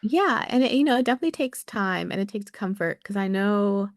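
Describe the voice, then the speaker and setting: A woman's voice: mid-range voice, lively intonation, brisk, no echo, studio quality. A woman author being interviewed on a podcast, talking chattily with lots of fillers and easy laughter. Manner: chatty, with many likes and ums, laughs easily. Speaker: author guest.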